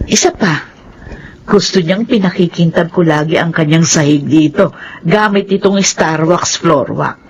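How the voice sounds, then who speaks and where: A woman's voice: low voice, measured pace, muffled recording, slight background noise. An actress playing a doting elderly mother in a Filipino radio drama, with a Filipino accent. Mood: fond, chatty. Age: older.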